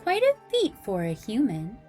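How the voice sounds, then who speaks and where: A woman's voice: on the high side, wildly swooping pitch, normal speed. A woman playing a bored, haughty vampire lady in a radio drama, languid and condescending. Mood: amused, haughty. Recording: loud music behind the voice.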